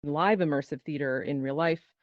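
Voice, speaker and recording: A woman's voice: low voice, normal speed, no echo, highs cut off. A woman performer talking casually on a panel, acting out her thoughts as she tells stories, through a VR headset microphone. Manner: animated storytelling, acts out quoted thoughts. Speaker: panelist, immersive theater performer.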